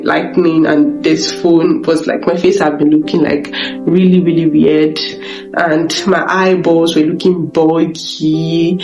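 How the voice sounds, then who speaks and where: Hoarse Nigerian woman, halting vlog in an echoey room. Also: low voice, a little mellow, highs cut off. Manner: halting, repeats words and restarts often. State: voice weak and off from illness. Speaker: vlogger. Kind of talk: personal confessional vlog. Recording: echoey room with guitar music behind.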